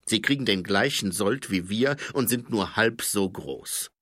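A man's voice: lowish voice, animated, unhurried, fairly bright tone, dry recording, studio quality. A man playing a blustering old fat sergeant. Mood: grumbling. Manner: blustering, grumbling. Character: fat older male sergeant, gruff, grumbling, prejudiced.

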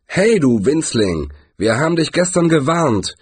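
A man voicing a bullying gang leader in a storybook, growling loud threats. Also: fairly high pitch, sing-song, measured pace, husky, dry recording, pitched above the performer's own voice, full character voice. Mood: threatening.

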